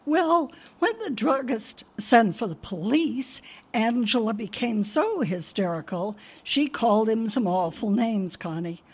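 Woman playing a husky, dotty old landlady. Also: a little high, lively intonation, a little slow, darkish tone, heavily acted. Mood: rambling. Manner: rambling, forgetful. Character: dotty, sweet, absent-minded older landlady. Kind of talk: radio comedy acting.

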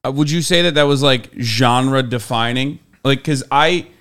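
A young man who grew up in Turkey, hosting a casual podcast chat in an animated way and laughing easily. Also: mid-range voice, moderate pace, clear, resonant tone. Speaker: podcast host.

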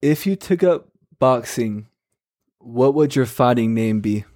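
A man's voice: mid-range voice, animated, measured pace, darkish tone. A man hosting a casual phone interview with a teasing, bantering way of talking. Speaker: host of a call-in segment.

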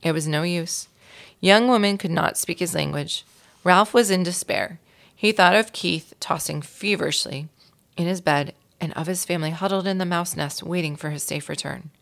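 A woman reading a children's story aloud in an expressive storytelling style, with a creaky voice. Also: on the low side, normal speed. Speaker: volunteer reader of a children's book.

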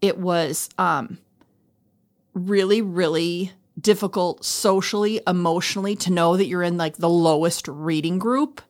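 A woman's voice: lowish voice, steady tone, unhurried. A middle-aged woman talking casually and reflectively, explaining things in an earnest, conversational way as a co-host. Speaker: podcast co-host, former elementary school teacher.

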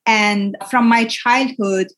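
A woman in her forties with a Persian accent, speaking English as a second language and giving an earnest personal testimony in an interview, pausing often with small grammar slips. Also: a little high, fairly even intonation, fairly bright tone. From Iran. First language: Farsi. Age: 40s. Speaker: interview guest.

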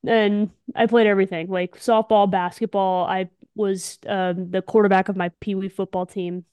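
A woman's voice: medium pitch, flat delivery, normal speed, a little mellow, smooth, dry recording. A young woman co-hosts a casual podcast, talking in an enthusiastic, emphatic, slangy way. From Alabama, United States. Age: young adult. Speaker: podcast co-host, softball player.

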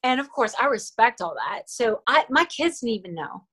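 A middle-aged woman from the Philippines whose first language is Tagalog, talking earnestly as an interview guest with fillers and soft laughs. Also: on the high side, expressive, normal speed, a crowd in the background. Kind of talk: casual interview talk.

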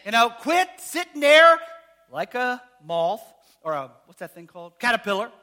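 A man preaching a sermon as pastor, casual and joking, asking the congregation questions, rising to shouts. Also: big pitch swings, moderate pace. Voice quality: high voice.

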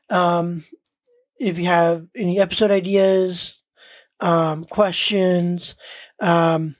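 Halting, slow-paced woman podcast host. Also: medium pitch, a little mellow, dry recording, clean studio sound. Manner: halting, hesitant, pauses often mid-sentence. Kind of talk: casual unscripted podcast talk.

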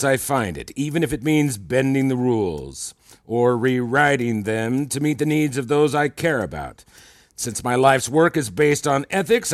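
Male actor as manipulative male therapist, condescending, deliberate, self-assured, audio drama, dry close sound. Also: mid-range voice, no room sound, close to an everyday voice. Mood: pleased, boastful. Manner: patronizing, deliberate, needling. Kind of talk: fiction podcast acting.

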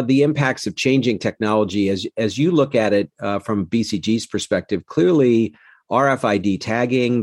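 Male podcast host, lowish voice, summarizes and asks leading questions, dry close sound. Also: no echo.

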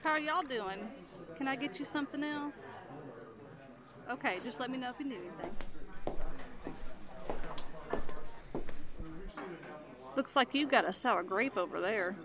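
A woman's voice: high voice, moderate pace, pauses often, natural voice. A woman acting a downbeat diner waitress in an audio drama, with a busy crowd behind her. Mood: polite, subdued.

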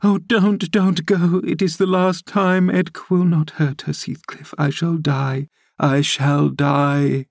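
A male narrator plays a dying young woman in a classic audiobook drama, pitched well up from his usual voice. She is passionate and desperate, pleading in breathless, sobbing bursts. The sound is dry and close. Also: level tone, slow, creaky, few pauses, no room sound, exaggerated voice. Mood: frantic pleading. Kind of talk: dramatised classic novel reading.

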